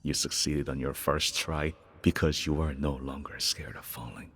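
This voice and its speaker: A man's voice: steady tone, moderate pace, fairly bright tone, dry recording, exaggerated voice. An actor playing a weary, regretful father in a video game, reminiscing tenderly about his child in a reflective first-person monologue. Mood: tender, nostalgic. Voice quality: gravelly, husky, very deep.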